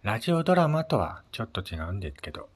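Male solo host, low register, polite and conversational, casual radio chat. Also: very animated, quick pace, breathy edge. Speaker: host of a personal audio show.

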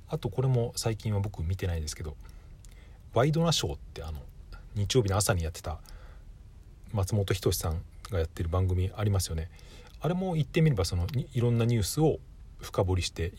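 A man's voice: lowish voice, warm tone, breathy edge. A man hosting a podcast solo, talking casually and thinking aloud with frequent self-corrections. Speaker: podcast host.

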